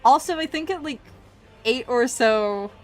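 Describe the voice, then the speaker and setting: A woman's voice: a little high, lively intonation, measured pace, soft background music. A woman in her twenties from Scotland with a mixed Scottish and Canadian accent, chatting casually on a drawing stream, laughing often as she answers chat. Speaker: art streamer.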